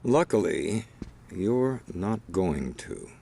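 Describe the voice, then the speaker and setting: A man's voice: low-pitched, big pitch swings, slow, gravelly, warm tone, husky, heavily acted. A man playing a cold, refined crime boss in a video game, sardonic and mock-polite. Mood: coolly scheming. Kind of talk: video game cutscene acting.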